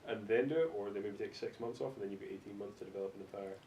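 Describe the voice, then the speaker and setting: Scottish guy rattling along on a podcast, sardonic, roomy echo with a bit of rumble. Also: very fast, mellow. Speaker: podcast panellist. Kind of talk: casual chat about video games. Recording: echoey room, faint rumbling noise.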